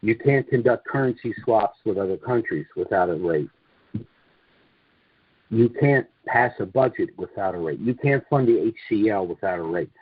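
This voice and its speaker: Guy with a lowish husky voice talking on a conference call, dull dry lo-fi sound, sure of his opinions. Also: no echo. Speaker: conference call host and speaker. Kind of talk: informal monologue commentary on a conference call. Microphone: conference call line.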